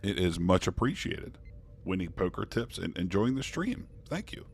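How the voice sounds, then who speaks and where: A man's voice: sits low, sing-song, normal speed, creaky, slight background noise. A man chatting casually on a live poker stream, thanking viewers and joking in character as a starship commander. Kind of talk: casual live-stream chat while playing online poker.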